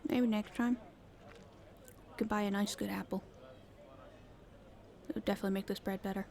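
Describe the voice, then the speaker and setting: A woman's voice: mid-range voice, steady tone, normal speed, gravelly, a little mellow, natural voice. A woman playing a casual, friendly young street urchin girl in an audio roleplay, speaking hesitantly and informally. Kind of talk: roleplay ASMR acting.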